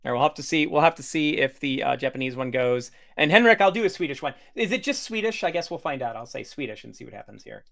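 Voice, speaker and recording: A man's voice: medium pitch, lively intonation, quick pace, creaky, few pauses, a bit bright. A man hosting a casual livestream demo over a video call, chatty and full of fillers and restarts. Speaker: host demoing software.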